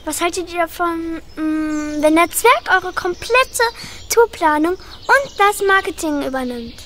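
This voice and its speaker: A woman's voice: very high voice, expressive, unhurried, fairly bright tone, a little hiss or hum, exaggerated voice. A woman acting a kind, reassuring role in a children's radio play.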